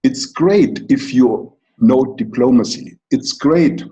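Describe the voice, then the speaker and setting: A middle-aged man from Germany with a German accent, a coach giving a slow, deliberate, reflective talk with pauses. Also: mid-range voice, dark tone. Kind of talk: lecture-style talk.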